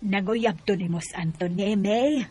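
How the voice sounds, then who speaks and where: A woman's voice: medium pitch, a little slow, natural voice. A woman acting an older, approving mother of a grown daughter in a Bisaya radio drama, with a Cebuano accent.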